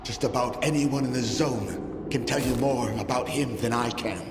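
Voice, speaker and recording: An actor playing a taunting, zealous cult leader in a video game cutscene, preaching and mocking in a theatrical way. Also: male voice, medium pitch, normal speed, background noise, natural voice. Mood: smug, coaxing. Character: zealous, taunting male cult leader of a post-apocalyptic zone. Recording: echoey hall, music behind.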